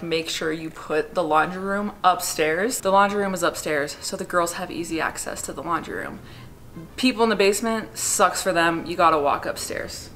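A woman's voice: mid-range voice, fairly bright tone, slight background noise. A young woman in her twenties giving a casual, chatty walkthrough of her half-built house, cheeky and profane. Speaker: vlogger giving a house tour. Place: unfinished house under construction, indoors. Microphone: small clip-on mic. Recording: echoey framed-out rooms with music behind.

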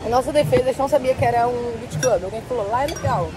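A woman's voice: fairly high pitch, rapid-fire, over a noisy crowd, heavy background noise. A young woman with a Brazilian Portuguese accent, chatting and joking to her phone camera while she travels outdoors. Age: young adult. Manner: chatty, joking, self-mocking asides. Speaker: travel vlogger. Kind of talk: casual travel vlog to camera. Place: outdoors on tropical streets and a beach club. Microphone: phone camera held at arm's length.

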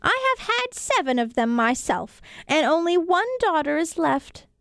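An old peasant grandmother from a storybook, played by a woman. Her voice is high and emphatic as she grumbles, yet she has a soft heart. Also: big pitch swings, measured pace, no room sound, studio quality, exaggerated voice. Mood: softening, fond.

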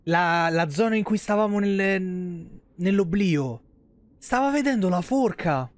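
A man with a fairly high voice and a Roman accent chats through a live game stream. He thinks aloud in colloquial, salty language. The sound is dry and close to the microphone. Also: expressive, takes it slowly, no room sound. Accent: central Italian, Roman. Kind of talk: casual live gameplay commentary. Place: indoor streaming setup at home.

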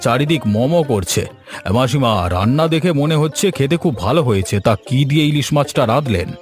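A man's voice: mid-range voice, lively intonation, a little fast, natural voice. Man playing food-loving, courteous house guest. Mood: appreciative. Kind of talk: cartoon story dialogue. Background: background music.

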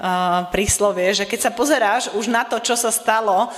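A woman in her thirties interpreting a sermon phrase by phrase in a measured way. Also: medium pitch. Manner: measured, follows each phrase steadily. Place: church hall. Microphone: stand mic. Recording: reverberant church hall.

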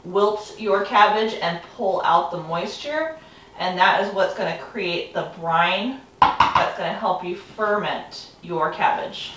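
A woman's voice: mid-range voice, a bit bright, a little hiss or hum. A woman in her thirties giving a friendly step-by-step cooking demonstration in her home kitchen, filmed by a camera across the counter. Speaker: homesteading vlogger. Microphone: camera mic from across a counter. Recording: roomy sound from a far mic.

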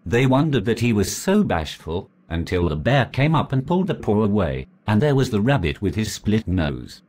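A man reading a folk legend aloud as a storyteller, in a measured, deliberate way. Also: lowish voice, sing-song, darkish tone.

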